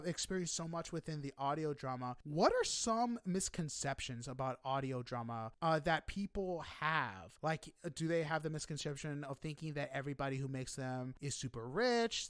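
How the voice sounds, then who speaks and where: A man with a fairly high voice talks as an interviewer in a casual, chatty style. He sounds enthusiastic and emphatic, and the recording is dry and close. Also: clear, resonant tone, no room sound. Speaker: podcast host interviewing a guest.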